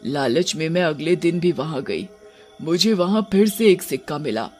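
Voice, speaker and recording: A man voicing a cursed old grandmother witch, menacing yet tender, in an animated horror bedtime story. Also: a little high, normal speed, soft background music, lightly acted. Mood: regretful.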